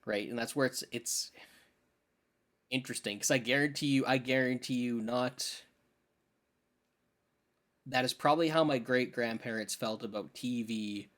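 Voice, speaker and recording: A man's voice: mid-range voice, normal speed, long pauses, a bit bright. A young man co-hosting a podcast, talking casually and emphatically in slangy, opinionated bursts. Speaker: podcast co-host. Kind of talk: casual film discussion chat.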